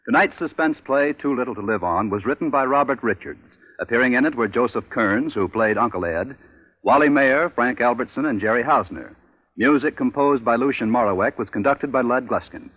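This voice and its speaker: A male network announcer of medium pitch reads formal scripted credits in 1940s style. The sound is dry and narrowly band-limited, like vintage radio. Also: animated, brisk, no room sound. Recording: tinny, band-limited old radio broadcast.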